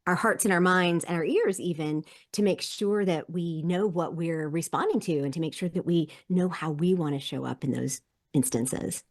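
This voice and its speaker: A woman's voice: lowish voice, normal speed. A woman in her fifties, a leadership coach and podcast guest, who talks at length with energy and laughs between phrases. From South Korea, grew up abroad. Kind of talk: conversational interview.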